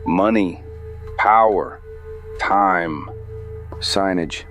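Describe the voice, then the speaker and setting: A man's voice: deep voice, very slow, fairly bright tone, soft background music, full character voice. A man playing a grave, terse investigator in a noir audio drama, speaking in clipped, serious phrases. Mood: grave, urgent.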